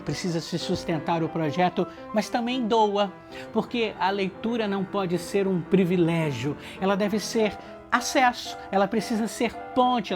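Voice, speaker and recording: A narrator with a Brazilian accent delivers reflective scripted narration in earnest, short, listed phrases. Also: mid-range voice. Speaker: book project organizer and narrator. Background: background music.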